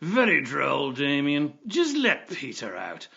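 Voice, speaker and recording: An actor playing an exasperated, pompous television detective with a dry, fussy, superior manner, in an audio drama. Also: male voice, on the high side, huge pitch swings, slow, gravelly, pushed and bright, exaggerated voice. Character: exasperated, pompous male TV detective, weary of his nemesis. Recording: slightly muffled recording.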